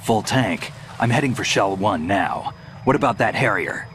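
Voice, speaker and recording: A battle-hardened game soldier, with a very low, gruff voice. He gives tactical information tersely and flatly, over clearly audible background noise. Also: moderate pace. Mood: brisk, confident. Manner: terse, matter-of-fact. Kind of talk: video game cutscene acting.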